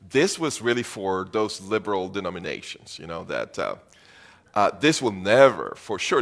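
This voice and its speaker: A man's voice: on the low side, a bit bright. A middle-aged man from Venezuela preaching a sermon as pastor, earnest and emphatic, repeating phrases for weight.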